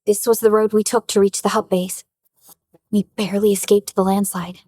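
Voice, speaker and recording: A woman acting as a young supervisor in a video game, earnest and polite. She speaks in measured, formal phrases at a middling pitch, with music clearly behind her. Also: flat delivery, warm tone, natural voice. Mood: grave.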